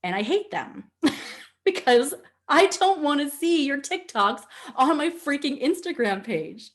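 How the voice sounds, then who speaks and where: A woman with a Nova Scotian Canadian accent teaching an informal online class in an animated, candid, jokey and chatty way. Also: high voice, a little slow. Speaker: social media instructor. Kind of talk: informal webinar teaching with casual asides.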